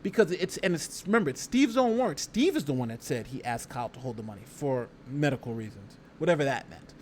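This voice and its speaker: A man's voice: mid-range voice, expressive, brisk, a little mellow, a little hiss or hum. A man giving casual live legal commentary as a lawyer, reading a document aloud and reacting in an emphatic, conversational way, often repeating phrases. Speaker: lawyer hosting a livestream.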